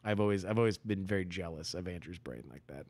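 A man co-hosting a podcast in casual chat, talking haltingly. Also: low voice, a little mellow, no room sound, studio quality. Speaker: podcast co-host.

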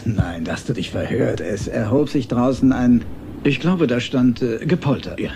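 A man's voice: mid-range voice, lively intonation, normal speed, mellow, a little hiss or hum, natural voice. An actor playing a flustered father of a young boy, hesitant and stammering in his explanations, in dubbed film dialogue. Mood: flustered, patient.

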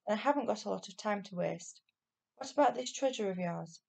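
A woman's voice: medium pitch, animated, fairly quick, gravelly, darkish tone. A woman voicing a stern but kindly village policeman with colloquial speech, in a children's storybook reading. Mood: sceptical. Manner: colloquial, working-class grammar.

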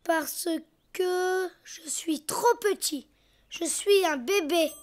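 A performer voicing a cheerful, imaginative little boy donkey in a cartoon, in a childlike, expressive way. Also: extremely high pitch, takes it slowly. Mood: playful. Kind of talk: cartoon voice acting.

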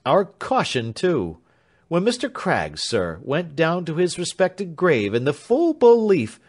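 A man voicing a flustered, fussy old lawyer who blusters loudly. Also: mid-range voice, lively intonation, normal speed, natural voice. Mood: blustering.

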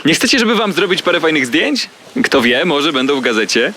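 A man's voice: fairly high pitch, very animated, fairly quick, bright, forceful tone, slight background noise, full character voice. An actor playing a smug, taunting male serial killer in a true-crime audio drama. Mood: slick, coaxing.

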